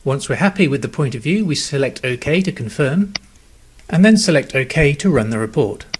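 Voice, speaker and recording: A man narrating a scripted software tutorial in a measured, step-by-step instructional manner. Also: on the high side, lively intonation, a little hiss or hum.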